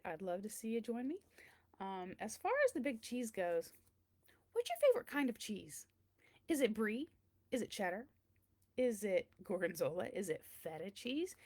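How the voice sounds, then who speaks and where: A woman hosting a read-aloud, chatting casually and speaking directly to her viewers. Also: medium pitch. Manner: chatty, addresses listeners directly with questions.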